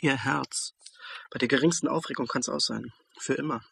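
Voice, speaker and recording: A man's voice: medium pitch, moderate pace, vocal fry, pushed and bright, husky, heavily acted. An actor playing an anxious young male student under exam pressure in a German radio crime play. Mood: subdued, worried. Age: young adult.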